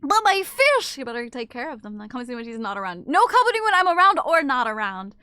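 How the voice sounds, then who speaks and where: A woman's voice: sits high, very animated, fast talker, pushed and bright, clean tone, dry recording, studio quality. A young woman streaming, chatting casually with viewers, teasing and swearing freely. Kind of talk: casual live-stream chat reading viewer comments.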